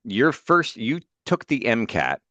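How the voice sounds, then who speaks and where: An American man hosting informally as a student adviser, talking in a halting, appreciative way. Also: mid-range voice, huge pitch swings, bright, forceful tone, no echo, lo-fi sound. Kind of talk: informal spoken introduction and questions.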